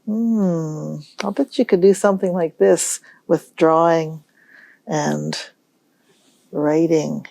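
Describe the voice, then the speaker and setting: Woman, children's storytime host, lowish pitch, mellow, dry close sound, musing and unhurried. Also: steady tone, gravelly, dry recording. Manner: gentle, musing, pauses to pose questions. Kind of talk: read-aloud storytime for young children.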